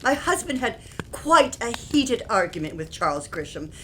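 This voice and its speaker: A woman's voice: a little high, sing-song, moderate pace, a bit bright. A woman playing an anxious, genteel Victorian wife in an audio play, speaking formally and earnestly. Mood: earnest, distressed. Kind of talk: audio theatre acting. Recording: faint background noise.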